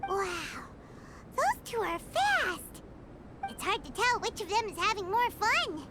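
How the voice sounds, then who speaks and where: A tiny, excitable female fairy companion, speaks of herself in the third person with a very high voice that leaps wildly up and down. She drags her words out slowly. Loud noise sits behind her. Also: exaggerated voice. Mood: curious.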